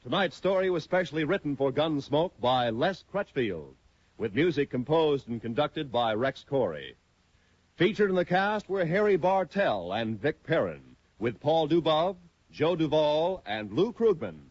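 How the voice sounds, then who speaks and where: A man's voice: a little high, sing-song, a little slow, darkish tone, no echo. A man reading closing credits in a formal, declaiming 1950s broadcast announcer style. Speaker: radio announcer. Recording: lo-fi 1950s radio recording. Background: orchestral music.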